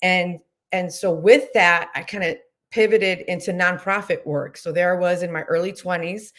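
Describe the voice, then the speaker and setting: Low-voiced woman educator, chatty and reflective. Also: steady tone, moderate pace, a bit bright. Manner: reflective, chatty storytelling with many fillers. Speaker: educator guest. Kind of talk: casual interview conversation.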